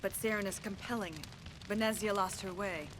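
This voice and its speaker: An actress plays an earnest alien woman in a video game. Her mid-range voice is measured and solemn, with formal phrasing. A clear ambient rumble runs behind her. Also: steady tone, lightly acted. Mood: solemn, regretful. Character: subdued, earnest freed alien woman, former servant.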